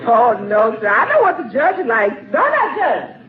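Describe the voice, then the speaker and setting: An actress playing a cheerful, frank household cook in a 1940s radio comedy, with a folksy manner. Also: female voice, fairly high pitch, huge pitch swings, measured pace, a crowd in the background. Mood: teasing, eager. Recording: lo-fi old radio broadcast, audience noise.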